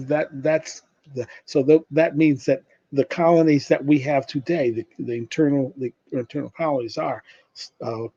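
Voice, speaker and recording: Muffled dry recording, older man, mellow husky voice, academic explanation, halting, self-correcting. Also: medium pitch, no room sound. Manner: halting, with frequent repeated starts and self-corrections. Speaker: sociology professor and presenter. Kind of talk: academic presentation question-and-answer, informal explanation. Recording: muffled, dull lo-fi audio.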